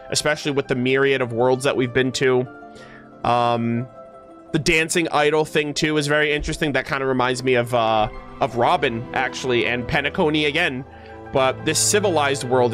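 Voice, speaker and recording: A man's voice: medium pitch, a bit bright. A man hosting a livestream, chatting casually about game lore and reading in-game text aloud in a gamer's slangy way. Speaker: livestream host.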